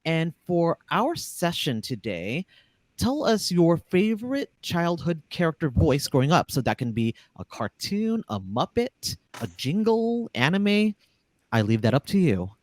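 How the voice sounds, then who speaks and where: A man's voice: a little high, sing-song, unhurried, darkish tone, dry recording. A man hosting a panel, introducing guests and moderating in a conversational way, on a close studio microphone. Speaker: panel host.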